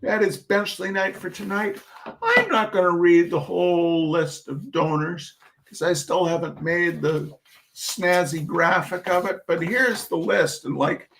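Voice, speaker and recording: A man's voice: fairly high pitch, creaky, a bit bright. A man hosting a reading of humorous essays, theatrical and emphatic, with mock indignation and chatty asides.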